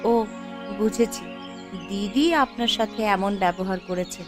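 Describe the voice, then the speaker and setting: A woman voicing a kind, humble younger sister in a moral-story cartoon, speaking gently and respectfully. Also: mid-range voice, fairly even intonation, measured pace, over music, lightly acted. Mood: gentle, puzzled.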